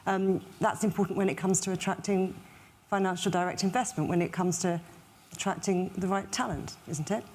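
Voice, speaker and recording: A woman's voice: medium pitch, fairly even intonation, fairly quick, darkish tone. A woman hosting a broadcast panel discussion as its moderator.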